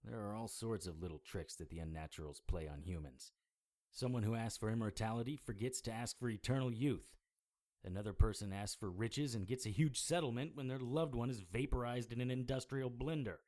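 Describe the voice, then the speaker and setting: An actor playing a hard-boiled, sardonic occult investigator in a noir audio drama, blunt and world-weary. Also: male voice, medium pitch, fairly quick, a little mellow, lightly acted. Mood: scornful, exasperated.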